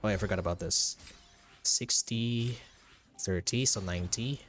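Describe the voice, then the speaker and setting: A man giving casual livestream commentary as a game streamer, speaking haltingly and repeating phrases for emphasis. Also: fairly low pitch, a bit bright, a little hiss or hum. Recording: game music behind the voice. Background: game music.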